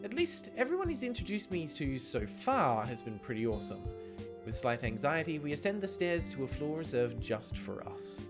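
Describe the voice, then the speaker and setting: A man streaming a game, chatty and expressive as he comments and reads the text aloud. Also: on the high side, normal speed. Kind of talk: livestream chat and read-aloud game commentary. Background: game music.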